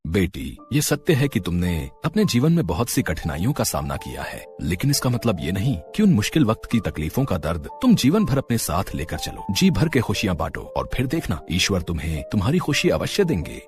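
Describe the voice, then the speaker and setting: A man's voice: lowish voice, huge pitch swings, brisk, few pauses. A man voicing a wise, solemn family guru in a cartoon moral story, sermonizing and giving blessings over music. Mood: solemn, reassuring.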